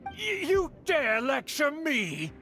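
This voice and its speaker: A haughty older nobleman, speaks slowly and imperiously. His pitch swoops theatrically with indignation as he delivers archaic, pompous lines. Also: wildly swooping pitch, bright, forceful tone, faint music behind, heavily acted. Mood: outraged. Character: haughty older male aristocrat uncle, indignant and scheming. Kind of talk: theatrical video game voice acting.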